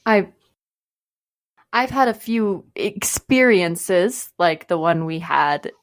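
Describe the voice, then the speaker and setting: A woman's voice: lowish voice, lively intonation, takes it slowly. An actress playing a nervous, self-mocking young woman who feels queasy, in a radio play. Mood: anxious. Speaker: actor.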